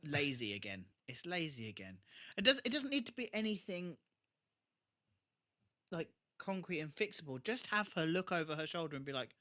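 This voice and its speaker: A man's voice: a little high, sing-song, normal speed, bright, forceful tone. A man co-hosting a podcast, chatting casually as he reviews a show. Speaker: podcast co-host. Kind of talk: casual chat, TV episode review.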